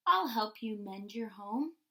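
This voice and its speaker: A woman's voice: medium pitch, animated, unhurried, sharp tone. A woman voicing a proud male lion king in a dramatic storybook manner. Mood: kindly, concerned. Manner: pompous, dramatic.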